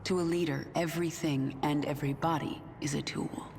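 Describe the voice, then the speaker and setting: A woman's voice: low-pitched, fairly even intonation, a little fast, very noisy. An actress voicing a ruthless, pragmatic queen of an alien swarm in a video game, with a cold, commanding manner. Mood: cold, resolute. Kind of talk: dramatic video game acting. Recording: loud game music over voice.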